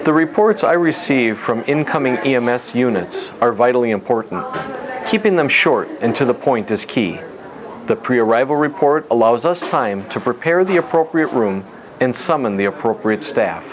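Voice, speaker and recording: A man's voice: medium pitch, lively intonation, moderate pace, crowd noise. A man on emergency hospital staff speaking in a scripted, instructional style. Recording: clearly noisy, ambient background sound.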